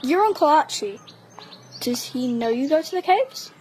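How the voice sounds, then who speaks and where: A woman playing a guarded, sharp-tongued wartime schoolgirl in an audio drama, giving brisk, dry retorts. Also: sits high, expressive, slight background noise. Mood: disapproving. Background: birdsong.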